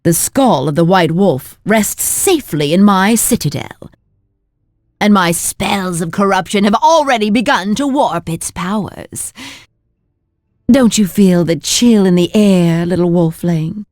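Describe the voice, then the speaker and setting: Evil sorceress for a fantasy game, female actor: haughty, cruel, slow, swooping delivery, close dry sound. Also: medium pitch, lively intonation, darkish tone, no echo, close to an everyday voice. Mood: mocking. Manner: mocking, theatrical, drawn-out. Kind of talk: video game voice acting.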